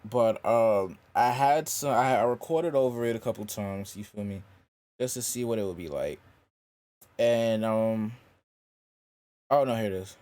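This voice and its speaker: Young guy with a low deep voice, loose slangy music chat, keeps saying bro. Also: a little slow, long pauses, mellow, dry recording. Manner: loose slangy talk, says "bro" a lot. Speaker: rapper and singer. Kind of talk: casual podcast chat about making music.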